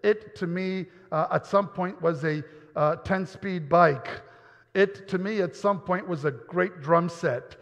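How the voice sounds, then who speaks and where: A man's voice: high-pitched, slow pace, a bit bright. A man from New York giving a personal testimony sermon in a church, earnest and often repeating words as he goes. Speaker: pastor. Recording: dull sound with long hall reverb.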